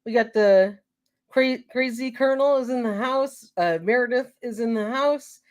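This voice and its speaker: A woman's voice: a little high, normal speed. A woman hosting a live show in casual chat, a little congested from a cold, laughing easily as she reads out viewer names.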